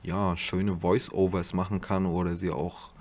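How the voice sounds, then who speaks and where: A man's voice: deep voice, level tone, a little slow. A man giving a casual tutorial commentary as host, speaking into a desktop USB microphone.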